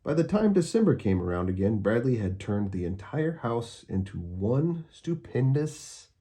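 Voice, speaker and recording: A man narrating a children's storybook aloud, expressively and with deliberate, dramatic emphasis. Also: mid-range voice, big pitch swings, warm tone.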